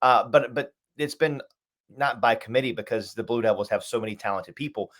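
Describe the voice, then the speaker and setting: A man's voice: medium pitch, steady tone, brisk, a bit bright. A man speaking as a sports announcer and interview guest, talking conversationally and at length, repeating and listing points for emphasis.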